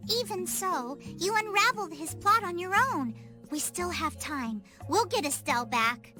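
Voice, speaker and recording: Super high lively kid hero voice, earnest and optimistic, fantasy game dub. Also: female voice, moderate pace, over music. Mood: encouraging. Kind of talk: English game dub acting.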